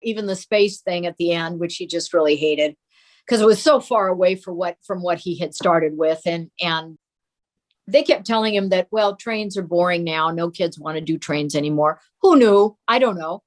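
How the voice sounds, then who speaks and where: A woman's voice: on the low side, normal speed. A mature woman reminiscing about family memories as a guest in an interview. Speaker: guest recalling her father.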